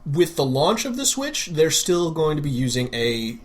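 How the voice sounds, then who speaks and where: A man's voice: on the high side, sing-song, normal speed. Casual male podcast co-host. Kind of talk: casual discussion among friends.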